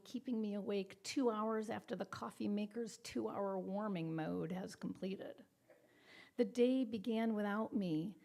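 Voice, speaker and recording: An older woman reads poetry in a soft voice, with measured, deliberate phrasing and breathy phrase endings. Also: mid-range voice. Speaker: poet reading own work. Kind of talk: poetry reading.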